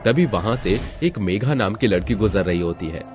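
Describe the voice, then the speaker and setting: A man's voice: fairly low pitch, animated, moderate pace, over music. A man reading a scripted moral story as its narrator.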